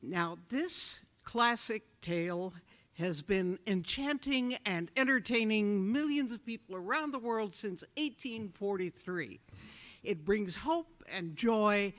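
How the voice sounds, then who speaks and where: An announcer reading a scripted theater promotion in a measured delivery. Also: medium pitch, lively intonation, fairly bright tone.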